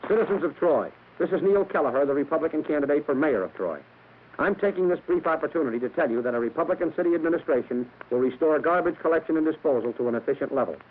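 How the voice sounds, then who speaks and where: A man with a slightly high voice reads a political radio spot at a quick pace. The old recording is heavily muffled and narrow. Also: lively intonation, a little hiss or hum. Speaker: political candidate.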